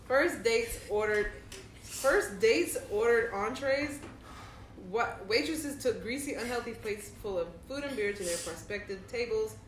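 A woman's voice: fairly high pitch, lively intonation, unhurried. A woman narrating an informal table read, reading stage directions aloud. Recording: echoey room, faint noise.

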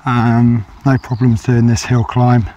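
A man with a slightly croaky voice, chatting casually to a handheld camera while walking a grassy hillside, with plenty of ums and hesitations. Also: mid-range voice, flat delivery, slow pace, mellow, dull audio, slight background noise. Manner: rambling, hesitant with many fillers. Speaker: walking vlogger. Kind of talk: casual hiking commentary to camera. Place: outdoors on open grassy hillside. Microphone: handheld camera held by the walker.

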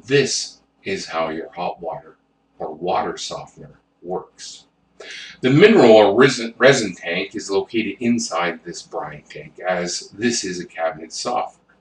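A man narrating an instructional plumbing video, reading technical figures step by step with the odd self-correction. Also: sits low. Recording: roomy, distant mic with faint noise.

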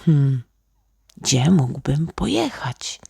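A woman's voice: low-pitched, big pitch swings, extremely slow, vocal fry, mellow, slightly rough, dry recording, studio quality, exaggerated voice. A woman voicing a dreamy, imaginative little boy who muses aloud in a bedtime storybook. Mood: musing, longing. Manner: musing, pondering aloud with hums.

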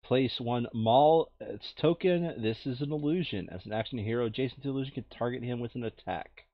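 A man plays through a board game, reading card text aloud and commenting casually with an expressive delivery. His voice sits at a middling pitch. The sound is close and dry, though somewhat dull and muffled. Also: a little fast, no room sound.

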